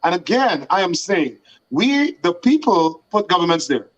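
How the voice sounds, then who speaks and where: Measured man making a political argument. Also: high-pitched, big pitch swings, a bit bright, lo-fi sound. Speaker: guest. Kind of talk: political argument on talk show.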